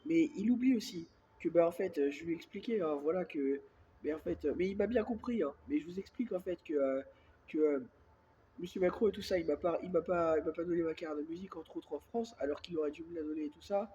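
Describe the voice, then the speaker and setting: A somewhat high-voiced man with a stuffy head cold speaks quietly into a phone held close, in a rambling, repetitive monologue. A faint hiss sits under his voice. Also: quick pace, lo-fi sound. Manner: speaks softly on purpose; rambling, repeats filler words constantly. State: has a cold, congested and coughing. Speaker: self-filmed vlogger. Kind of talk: casual monologue to camera.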